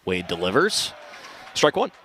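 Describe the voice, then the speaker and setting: A man's voice: deep voice, huge pitch swings, measured pace, fairly bright tone. A young man doing live play-by-play commentary of a baseball game. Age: young adult. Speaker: play-by-play sports announcer. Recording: outdoor ballpark with background noise. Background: outdoor ballpark ambience.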